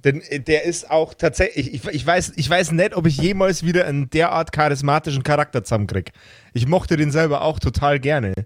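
A man with a slightly high voice talks in a casual podcast chat. He repeats words and restarts as he gathers his thoughts. The sound is very dry, without room echo. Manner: halting, repeats words as he thinks. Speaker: podcast regular.

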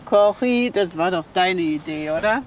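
A woman's voice: lowish voice, lively intonation, unhurried, a little hiss or hum. An actress playing a generous, outspoken older landlady and mother in a naturalistic TV re-enactment. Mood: pleased, teasing.

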